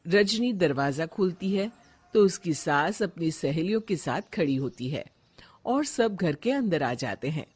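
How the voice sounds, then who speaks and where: Slow, expressive woman story narrator. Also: fairly low pitch, slightly rough.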